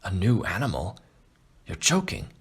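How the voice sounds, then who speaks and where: A man's voice: low-pitched, huge pitch swings, normal speed, breathy edge, heavily acted. A man playing a younger American hunter in an audiobook, a polite guest who speaks briefly and questioningly. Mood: astonished. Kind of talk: audiobook character acting.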